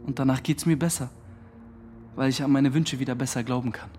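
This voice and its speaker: A man's voice: mid-range voice, fairly even intonation, brisk, warm tone, faint music behind, natural voice. An actor playing a slangy, earnest young man who feels hurt and defiant, in a naturalistic radio drama. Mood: earnest, calm. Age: young adult. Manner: slangy, earnest, plain-spoken. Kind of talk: interview monologue in a naturalistic German radio drama.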